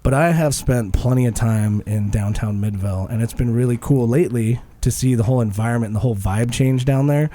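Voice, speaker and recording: A man's voice: medium pitch, fairly quick, few pauses, warm tone, a little hiss or hum. A man hosting a casual podcast, a bit nasal from a cold, joking and rambling. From Utah. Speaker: podcast host.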